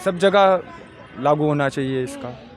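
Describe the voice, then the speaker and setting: A man's voice: fairly high pitch, unhurried, a faint crowd. A man speaks. He is a member of the public giving casual, plainly repeated answers on a city street. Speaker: member of the public being interviewed. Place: outdoors on an urban street.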